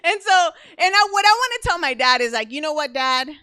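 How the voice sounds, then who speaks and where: A woman's voice: high-pitched, expressive, a little fast, pushed and bright, dry recording, studio quality. A young woman from Mexico with a Mexican accent doing stand-up comedy, chatty and self-mocking, laughing often mid-sentence.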